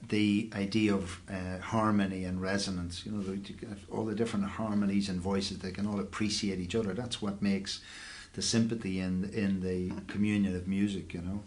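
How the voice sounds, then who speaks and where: Male host, bass voice, easygoing and rambling. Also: moderate pace, few pauses, a little hiss or hum. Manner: relaxed, rambling, thoughtful with fillers. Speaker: host of online singalong. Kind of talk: casual chat.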